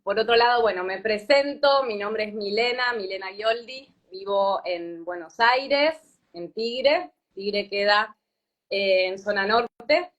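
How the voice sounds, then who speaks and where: A woman in her thirties with an Argentine Spanish accent, from Patagonia, telling her story as a guest in an interview, thoughtful and pausing often with fillers. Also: medium pitch, a bit bright, highs cut off. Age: 30s. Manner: thoughtful, many fillers and restarts. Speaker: yoga teacher, guest. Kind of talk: reflective interview talk.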